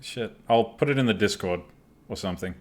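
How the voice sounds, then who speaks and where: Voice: low voice, brisk. A stream host chatting casually with a group of friends during a live broadcast. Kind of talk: casual livestream chat among friends.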